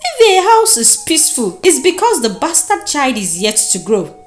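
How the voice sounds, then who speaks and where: A woman voicing a resentful, sharp-tongued village woman, indignant and scornful, in a folktale. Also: high-pitched, wildly swooping pitch, normal speed, soft background music, pitched above the performer's own voice.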